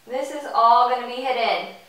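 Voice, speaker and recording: A woman with a bright voice hosts a hairstyling tutorial in an informal, chatty way. She explains each step casually while demonstrating. The room is noticeably echoey and she sits a little off the mic. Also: fairly high pitch, steady tone, moderate pace, recorded from a distance. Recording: bright roomy echo, off the mic.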